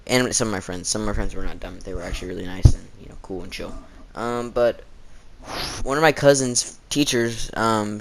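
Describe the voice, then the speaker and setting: A teenage boy telling stories in a casual, rambling, halting way, with slangy wording and a sore throat he often clears. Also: lowish voice, rough recording. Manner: halting, informal, slangy, dramatizing quotes. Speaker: video storyteller.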